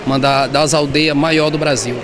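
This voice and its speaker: A man, being interviewed as an artisan. He speaks haltingly and often repeats or restarts words. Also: on the high side, steady tone, a crowd in the background. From southern Bahia, Brazil. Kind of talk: street interview. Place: indoor shopping mall. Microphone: handheld reporter mic. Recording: noisy mall background.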